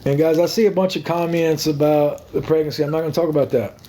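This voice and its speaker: A man's voice: on the high side, steady tone, fast talker, warm tone, a little hiss or hum. A young man chatting on a phone livestream, rambling, repeating himself and thanking viewers by name. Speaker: livestream host. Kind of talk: casual livestream chat with viewers.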